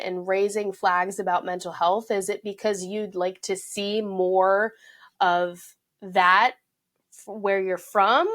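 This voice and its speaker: A woman's voice: mid-range voice, a bit bright. A woman hosting a podcast interview, relating to her guest in a casual, conversational way with fillers. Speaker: podcast host.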